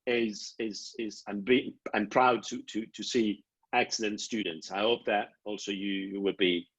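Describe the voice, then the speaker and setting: A middle-aged Italian man with an Italian accent, answering prospective students' questions on a video call, halting and repeating words as he explains. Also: lowish voice, fairly even intonation, fairly bright tone, husky. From Italy. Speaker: programme director. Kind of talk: online Q&A answers. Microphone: laptop webcam video call. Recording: dull lo-fi video call audio.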